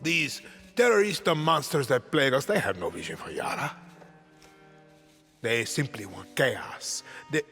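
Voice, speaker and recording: An actor playing an imperious older dictator in a video game, delivering halting oratory with a Latin American Spanish accent. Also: male voice, mid-range voice, animated, creaky, pushed and bright, full character voice. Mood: oratorical, indignant. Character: imperious older male dictator rehearsing a speech. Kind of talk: dramatic video game cutscene acting. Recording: reverberant room with music underneath.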